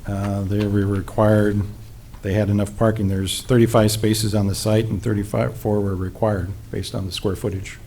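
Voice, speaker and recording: Low, flat-voiced city staff man. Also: slight background noise. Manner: measured, procedural, many fillers. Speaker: city planning staff presenter. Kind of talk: reading a staff report at a public meeting. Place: city council chamber.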